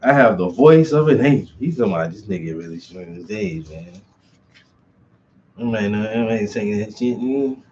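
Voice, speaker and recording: A man's voice: lowish voice, lively intonation, normal speed, warm tone, dull audio, slight background noise. A man talking casually to himself while he works on a craft project, muttering, humming and breaking into song. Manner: mutters, hums and sings along while working. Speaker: artist and channel host.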